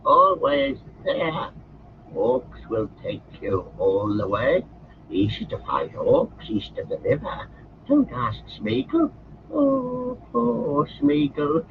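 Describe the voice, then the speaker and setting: A man voicing a wretched, twitchy little male creature in a shrill, whining voice that cracks into squeals. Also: sing-song, slow, gravelly, narrow, tinny audio, a little hiss or hum, exaggerated voice. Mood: cackling.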